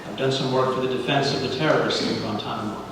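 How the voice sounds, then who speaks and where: Casting: middle-aged man from Cuba, native Spanish speaker, earnest deliberate public speaker, heard from afar in a very reverberant hall. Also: lowish voice, steady tone. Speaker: guest speaker leading a congregational service. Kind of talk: sermon-like public address with readings. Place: large reverberant indoor hall, speaking to a congregation. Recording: very echoey hall, distant mic, light noise.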